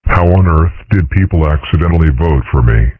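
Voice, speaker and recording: A man's voice: very deep voice, fairly quick, no echo, phone-quality sound. A man voicing a grandiose, melancholy dragon father in a pompous, theatrical comic dub. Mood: dismayed. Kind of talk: comic dub character acting.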